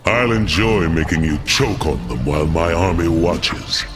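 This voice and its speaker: An actor voicing a boastful, menacing demon warlord in a video game, taunting and grandiose. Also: male voice, low-pitched, unhurried. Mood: menacing boasting. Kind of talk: theatrical video game villain acting. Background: music.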